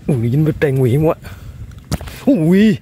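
An outdoors man talks his viewers through his catch with excited exclamations. His voice is slightly high yet mellow and soft-edged. Faint noise sits under the recording. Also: unhurried. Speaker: fishing vlogger. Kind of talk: casual running commentary. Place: outdoors by a muddy riverbank.

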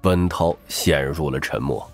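A man's voice: extremely low pitch, a little slow, faint music behind. A man reading scripted, dramatic narration as an audiobook narrator.

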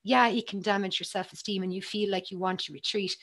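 A woman's voice: mid-range voice, steady tone, a little fast, fairly bright tone. A woman, a psychologist speaking earnestly on a panel over a video call, with many ums and restarts. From Ireland. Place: small room with bookshelves, indoors. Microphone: headset on a video call.

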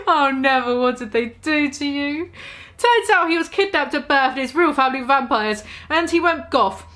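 A young woman with a high voice delivers a comic dramatic reading. She is theatrical and mock-serious, overplaying the text and stepping out of it with amused remarks. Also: a bit bright. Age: 20s. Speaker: online video host. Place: small room at home.

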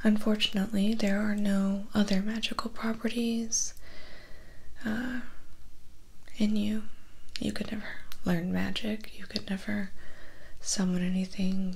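A woman in her twenties playing a vampire's calm, chatty, slightly awkward assistant in a soft-spoken ASMR roleplay, talking gently and haltingly close to the mic. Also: medium pitch, vocal fry, a little hiss or hum, poor audio. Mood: hesitant, matter-of-fact. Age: 20s. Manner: hesitant, halting, gently conversational. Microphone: close microphone, just off camera.

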